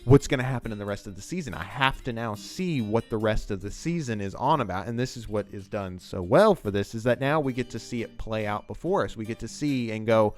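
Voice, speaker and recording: A man's voice: fairly low pitch, brisk, few pauses, soft background music. A man giving enthusiastic, rambling commentary on a TV scene as the host of a video essay.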